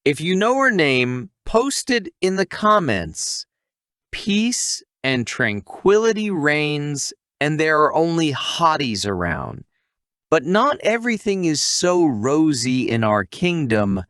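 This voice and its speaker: A man's voice: a little high, big pitch swings, slow pace, a bit bright, dry recording, clean studio sound. A man narrating a scripted story recap as a channel host, with playful asides and questions to the viewer.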